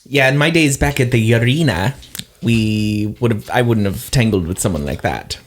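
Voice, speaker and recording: A man playing a tabletop game, measured and deadpan. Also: lowish voice, slight background noise. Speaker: player. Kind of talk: casual tabletop play.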